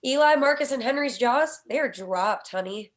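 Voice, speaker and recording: A woman's voice: high-pitched, animated, normal speed. A woman reading scripted screenplay stage directions as narrator at a table read.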